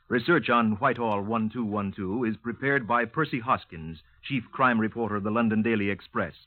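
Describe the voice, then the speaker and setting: A man's voice: lowish voice, normal speed, dry recording. Formal vintage male radio announcer, muffled sound. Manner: formal, declarative. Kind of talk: 1950s radio announcing, scripted. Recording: dry, muffled narrowband vintage radio recording.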